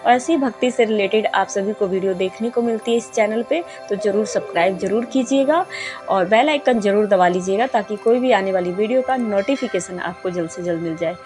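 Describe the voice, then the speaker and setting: A hoarse woman who is a little unwell, hosting a devotional channel and chatting her way through ritual steps, repeating herself and calling viewers her friends. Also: mid-range voice, fairly quick, music in the background. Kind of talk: casual spoken ritual guide.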